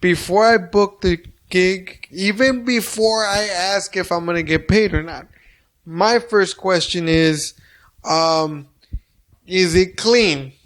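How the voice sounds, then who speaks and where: A man's voice: sits high, slow pace. A man who does stand-up comedy, talking bluntly and profanely in a casual podcast chat. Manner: blunt and profane, animated storyteller. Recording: very echoey room.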